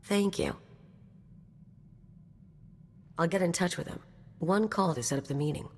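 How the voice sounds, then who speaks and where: A woman's voice: on the low side, a little fast, husky. An actress playing a cynical, tough, self-serving mercenary woman in a video game, with a blunt, wry, streetwise delivery. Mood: guarded, businesslike.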